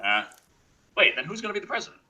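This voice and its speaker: Man, thirties, livestream host, bright tone, lively pitch, joking banter. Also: quick pace. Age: 30s. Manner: animated, joking, reads chat donations aloud. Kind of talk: casual livestream chat.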